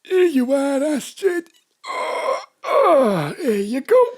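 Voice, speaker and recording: High, slow, earthy pub companion. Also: female voice, sing-song, heavily acted. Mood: alarmed, scolding. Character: Bob, earthy excitable drinking companion at the pub. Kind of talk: comic audio drama dialogue.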